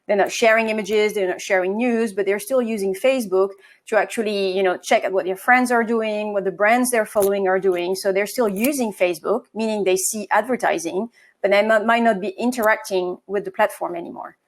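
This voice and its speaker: A woman's voice: medium pitch, brisk. A woman from France with a French accent, a marketing agency co-founder answering interview questions, with hesitations and self-corrections.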